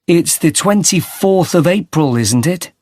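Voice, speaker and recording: A man's voice: on the high side, animated, a little slow, darkish tone, no echo, studio quality. Polite male survey interviewer, acted by a man. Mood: friendly. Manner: polite, clear questioning. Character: polite, sympathetic street interviewer doing a transport survey. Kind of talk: scripted everyday dialogue for a listening test.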